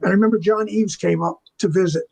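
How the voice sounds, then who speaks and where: An older man with a noticeably high-pitched voice recounts stories as an interview guest. He talks casually and colloquially, like a veteran of his trade, and acts out both sides of remembered conversations. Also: fairly even intonation, fast talker, gravelly, darkish tone. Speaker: interview guest, veteran visual effects artist. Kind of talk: casual anecdotal storytelling in an interview.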